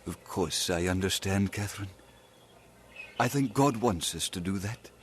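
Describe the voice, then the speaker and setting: An earnest young Scottish preacher. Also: male voice, deep voice, lively intonation, a little hiss or hum. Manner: gentle, earnest, halting. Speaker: minister proposing marriage. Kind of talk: 1950s radio drama acting.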